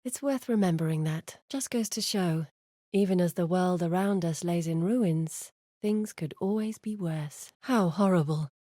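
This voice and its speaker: An actress playing a grave, haunted yet wry woman who guides exiles in a fantasy game, speaking in a measured, earnest, dramatic way. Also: lowish voice, mellow, no echo, studio quality. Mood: somber, troubled. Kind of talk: scripted fantasy game voice acting.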